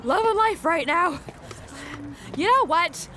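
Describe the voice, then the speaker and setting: A woman's voice: very high-pitched, expressive, slow, pushed and bright, a little hiss or hum, exaggerated voice. A woman playing a sarcastic, blunt young wheelchair-using tour guide in an audio drama. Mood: exasperated, sarcastic. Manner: sarcastic, outspoken. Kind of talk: audio drama acting, conversational.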